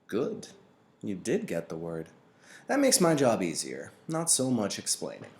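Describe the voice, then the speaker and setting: A man reading a storybook part as a weary old mentor, gentle and patient, with a deliberate, grave delivery. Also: fairly low pitch, huge pitch swings, vocal fry, heavily acted. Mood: pleased, encouraging.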